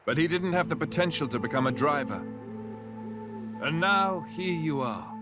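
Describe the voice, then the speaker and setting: An actor playing an affable young prince in a video game cutscene, courteous and thoughtful with a gently wry touch. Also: male voice, on the high side, animated, normal speed, lightly acted. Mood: composed. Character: affable young prince, earnest and reluctant to rule. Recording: music behind the voice.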